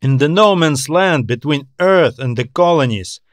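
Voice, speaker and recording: A man's voice: fairly high pitch, expressive, normal speed, no echo, studio quality, close to an everyday voice. Man voicing a wry, grumbling customs inspector. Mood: wry, teasing. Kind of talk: audiobook character dialogue.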